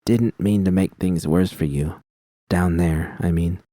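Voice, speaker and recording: A steady, reassuring young woman mercenary, voiced by a man in his own low register. Her lines come calm and gentle, with a mellow tone. The sound is dry and close. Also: a little slow, dry recording. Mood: tender.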